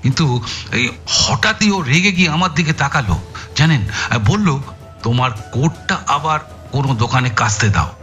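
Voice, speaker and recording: Man acting a bright-voiced, weary Indian detective. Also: medium pitch, lively intonation, moderate pace, faint music behind, lightly acted. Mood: weary, indignant. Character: exhausted, frustrated male police detective recounting a case. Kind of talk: dramatized audio thriller acting.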